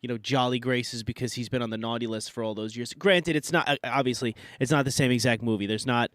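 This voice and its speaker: A man's voice: mid-range voice, fairly even intonation, fast, fairly bright tone, no room sound, clean studio sound. A man co-hosting a live podcast, sounding nasal from a cold, talking casually with lots of fillers and restarts. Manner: rambling, many fillers and restarts. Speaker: podcast co-host. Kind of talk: casual debate chat.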